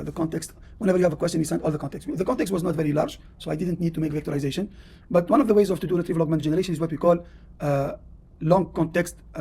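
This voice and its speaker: A man's voice: fairly high pitch, vocal fry, warm tone, slightly rough. A man with a Tunisian accent, a professor giving a classroom lecture and explaining at length with many "uh"s and "you know"s. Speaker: university professor.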